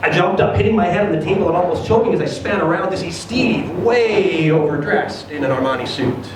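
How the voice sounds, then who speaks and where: A man giving an expressive, theatrical reading of a first-person short story. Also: high-pitched, fairly quick, a loud crowd. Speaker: reader of a short story at a live event. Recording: very echoey room, distant mic, noisy.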